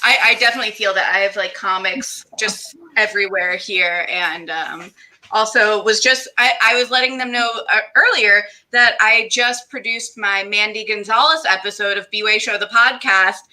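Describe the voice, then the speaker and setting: A woman's voice: medium pitch, moderate pace, pushed and bright. A woman hosting a casual live online interview, speaking in an encouraging and enthusiastic way. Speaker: host of an online show and podcast.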